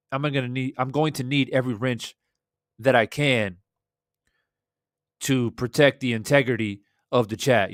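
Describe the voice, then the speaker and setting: Hoarse man hosting a livestream. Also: mid-range voice, dry recording, studio quality. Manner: laid-back, shouting out chat viewers, swears freely. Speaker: boxing livestream host. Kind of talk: casual livestream chat. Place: small home room, indoors. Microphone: studio desk mic with headphones.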